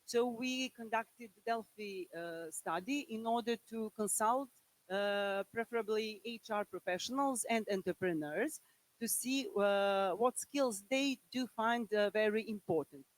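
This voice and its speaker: A woman's voice: medium pitch, poor audio. A woman professor from Serbia with a Serbian accent, presenting on a conference panel and often searching for words. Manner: halting, searching for words in a second language.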